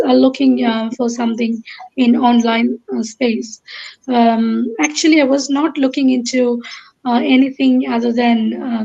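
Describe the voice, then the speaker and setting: A woman in her thirties from Kerala, India, speaking as a guest in an online interview, pausing often with ums and uhs. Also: fairly high pitch, mellow, dull audio. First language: Malayalam. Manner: hesitant, many ums and uhs.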